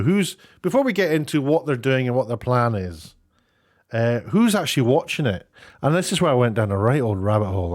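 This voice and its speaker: A British man giving casual, opinionated commentary in a rambling, chatty way with sarcastic asides. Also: medium pitch. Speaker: online video commentator. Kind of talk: casual opinionated commentary, reading out tweets and figures.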